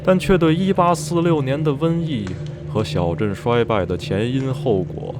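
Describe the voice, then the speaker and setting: An actor playing a reflective man who recounts a journey from his youth in measured, literary radio drama narration. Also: medium pitch, lightly acted. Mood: recollecting. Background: music.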